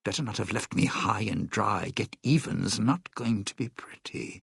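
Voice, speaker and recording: An actor voicing a suave, bitter, century-old lounge singer in a video game, a sardonic and scheming showman with a raspy voice. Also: lowish voice, moderate pace, fairly bright tone, no echo, studio quality, exaggerated voice. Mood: muttering, uneasy. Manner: theatrical, sardonic showman talking in stage metaphors.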